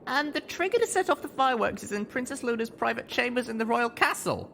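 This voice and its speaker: A man's voice: very high-pitched, expressive, fast talker, vocal fry, bright, forceful tone, a little hiss or hum, pitched above the performer's own voice, full character voice. A man voicing an earnest, exasperated young female pony in an audiobook, with an emphatic, incredulous manner. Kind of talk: acted audiobook dialogue.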